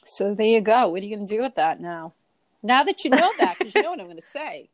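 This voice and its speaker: A woman's voice: medium pitch, lively intonation, quick pace, no echo. Boston woman coaching with rhetorical questions. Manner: coaching, asks rhetorical questions, repeats herself. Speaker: podcast co-host. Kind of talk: reflective spiritual discussion.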